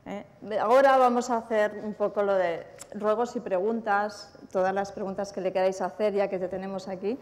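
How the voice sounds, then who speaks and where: A woman's voice: medium pitch, steady tone, normal speed, hardly any pauses, darkish tone. Woman presenter in a reverberant hall. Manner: friendly, joking. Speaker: event presenter. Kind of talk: moderating a Q&A. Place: large banquet hall. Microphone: podium mic. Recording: hall reverb with faint noise.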